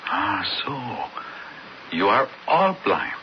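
A bewildered young traveller in a vintage radio play, low-voiced and extremely slow, halting with wonder. The sound is muffled and faintly hissy. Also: male voice, sing-song, full character voice. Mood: shocked awe. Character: awed, bewildered young male stranger. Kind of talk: 1970s radio drama acting. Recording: muffled old radio recording, faint hiss.

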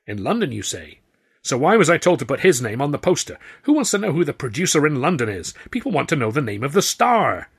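A man voicing a brash, pushy American theatre owner who talks loudly, with a husky voice. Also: a little high, sing-song, fast, voice pushed up, full character voice. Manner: loud, pushy, showman bluster.

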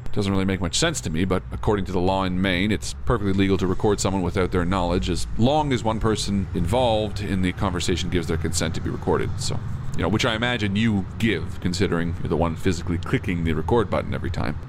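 Guy acting as skeptical but kind police officer, low voice, chatty audio drama, car rumbling loud behind. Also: fast, close to an everyday voice. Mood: calm, curious. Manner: wry, reassuring, conversational. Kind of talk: naturalistic audio drama dialogue. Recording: clear car and road noise. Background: moving car ambience.

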